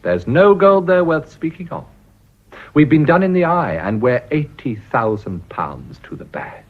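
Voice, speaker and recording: A man's voice: on the high side, very animated, unhurried. An actor playing a ruthless, cynical English businessman in a radio drama, blunt and sardonic. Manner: sardonic, blunt, smoothly commanding. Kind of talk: broadcast radio drama acting. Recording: dull, lo-fi radio recording.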